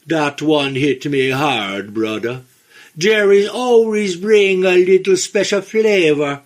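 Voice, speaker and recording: A man voicing a laid-back male Jamaican caller, leaving a voicemail in a slow, easygoing patois-style drawl. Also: a little high. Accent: put-on Jamaican.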